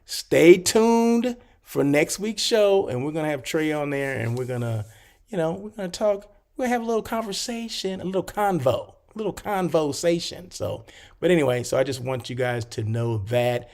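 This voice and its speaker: A man with a fairly high, gravelly voice hosts a loose live stream, drifting from one aside to the next. He sounds a little froggy and hoarse, and his talk is full of fillers. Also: lively intonation, moderate pace, darkish tone. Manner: rambling, chatty, many fillers and asides. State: slightly hoarse, clearing throat. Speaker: hotel consultant hosting a live show. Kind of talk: casual livestream chat.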